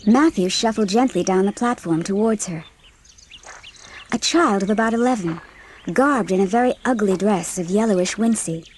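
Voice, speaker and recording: A woman's voice: medium pitch, normal speed, a little mellow, slight background noise. A woman narrating a dramatised audiobook from a script, telling the story in literary prose.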